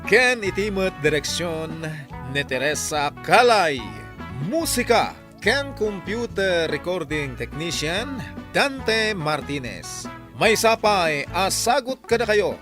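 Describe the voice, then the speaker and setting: A male radio drama announcer with an Ilocano Filipino accent. He reads his script dramatically at a high pitch with sweeping intonation, over clear music. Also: sing-song, takes it slowly.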